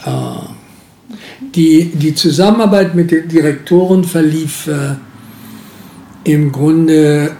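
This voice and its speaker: A man's voice: fairly high pitch, takes it slowly, pauses often, warm tone, echoey room. An older man, a former museum director, recalling his past work in an interview, hesitant and full of filler sounds. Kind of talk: interview recollection.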